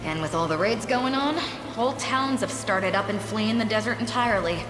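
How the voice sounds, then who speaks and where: An actress playing a tough, distrustful captain of fighters in a video game, blunt and sardonic. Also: female voice, mid-range voice, normal speed, close to an everyday voice. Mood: grim. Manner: blunt, sardonic, hard-bitten. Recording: roomy echo, music behind.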